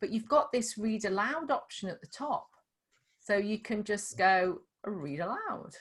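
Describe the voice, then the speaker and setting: Slight Scouse woman, middle-aged, chatty tutor demoing software, loose and restarting. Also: medium pitch, expressive, unhurried. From Liverpool. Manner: chatty, demonstrative, many restarts. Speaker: dyslexia tutor. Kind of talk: casual screen-share tutoring session.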